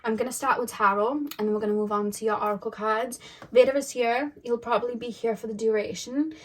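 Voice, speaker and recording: A woman with a slightly high voice talks through a tarot reading at her desk at home. She is chatty and muses aloud, often repeating her questions to her spirit guides. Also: steady tone, fairly quick. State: voice going a little deep. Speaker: tarot reader. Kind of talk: casual tarot reading to camera. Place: indoors at home.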